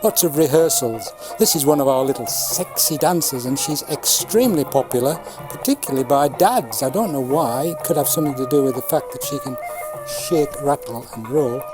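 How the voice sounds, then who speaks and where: A man in his seventies, a puppeteer, explaining and showing his craft in a measured way with dry humour. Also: mid-range voice, expressive, over music, rough recording. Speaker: puppeteer, Punch and Judy showman. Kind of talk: informal talk demonstrating puppets.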